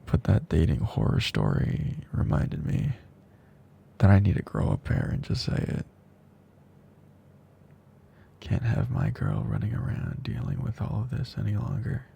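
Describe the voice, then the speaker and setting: Man acting a gravelly, tender male best friend. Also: deep voice, huge pitch swings, heavily acted. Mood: relieved, teasing. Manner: soft, breathy, slow, drifting into whispers. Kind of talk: intimate ASMR audio roleplay, soft and breathy.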